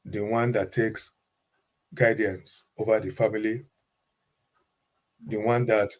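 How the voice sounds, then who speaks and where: Slow, deliberate pastor. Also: male voice, fairly low pitch, flat delivery. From Nigeria. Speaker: pastor, panel guest. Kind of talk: panel discussion answer.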